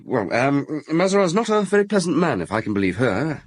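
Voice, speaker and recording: An actor playing a dutiful police inspector who gives dry, measured, concise reports in a radio drama. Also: male voice, fairly high pitch, very animated, no echo. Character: concise, matter-of-fact male police inspector, dutiful subordinate.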